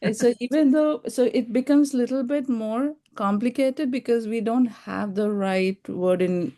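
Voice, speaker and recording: A Bengali native woman with an Indian accent, speaking as a student in a casual class discussion, halting and thoughtful. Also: on the high side, warm tone.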